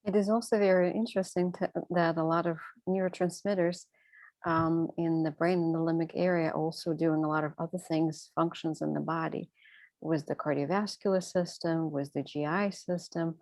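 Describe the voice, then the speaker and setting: A woman co-presenting a webinar, explaining conversationally in halting phrases with everyday examples. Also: deep voice, fairly even intonation, darkish tone, dry recording. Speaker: co-presenter, physician.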